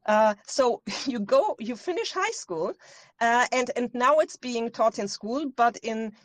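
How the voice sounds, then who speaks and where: A woman's voice: on the high side, animated, measured pace, no echo. Woman, interview guest, earnest, explanatory, self-correcting, dry sound. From Austria. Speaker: guest expert. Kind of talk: interview answers, explaining at length.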